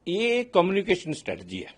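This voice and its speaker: A man's voice: sits high, wildly swooping pitch, fairly quick. A man with an Indian accent speaking as an expert in an interview, explaining step by step and mixing Hindi with English phrases. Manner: explains step by step with everyday examples, often checking with "right" and "okay". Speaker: aviation expert being interviewed.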